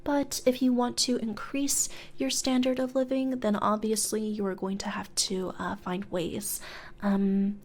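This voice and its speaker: Woman playing a slow, whispering demon job interviewer. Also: medium pitch, level tone. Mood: businesslike, explaining. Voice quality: soft, breathy whisper. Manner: hesitant, measured corporate politeness, murmured. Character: female demon job interviewer, businesslike, politely sinister recruiter. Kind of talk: whispered ASMR roleplay. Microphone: close studio condenser microphone.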